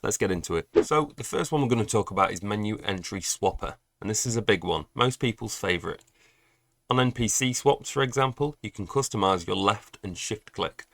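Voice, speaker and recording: A man's voice: fairly low pitch, animated, a little fast, gravelly, fairly bright tone, no room sound. A young man with a cold, chatty and explaining game plugins in a tutorial voice-over with dry asides. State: has a cold. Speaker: gaming guide creator.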